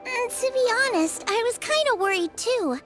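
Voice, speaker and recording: A sweet, earnest teenage girl cook from a fantasy game. Her voice is extremely high and swoops animatedly, polite and slightly shy, over prominent background music. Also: animated, moderate pace. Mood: friendly, concerned. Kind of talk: video game voice acting.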